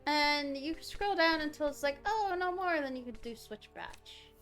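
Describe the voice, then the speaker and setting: A woman's voice: high voice, animated, normal speed, fairly bright tone, soft background music. A woman livestreaming a game, chatting casually and thinking aloud as she reacts to what is on screen. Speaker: game streamer.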